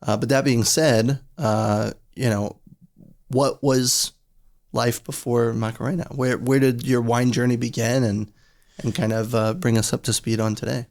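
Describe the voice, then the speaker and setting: A man with a mid-pitched voice hosting a casual podcast interview. He speaks easily and loosely, filling his questions with ums and uhs. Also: unhurried. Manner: relaxed, friendly, with many fillers and backchannels. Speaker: podcast host.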